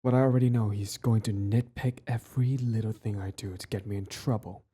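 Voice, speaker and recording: A man's voice: fairly low pitch, steady tone, fairly quick, mellow, husky. A man playing a cocky but easily flustered villain devoted to a date, in a casual, wry romantic audio roleplay. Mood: bitter, scheming. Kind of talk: one-sided romantic audio roleplay, conversational.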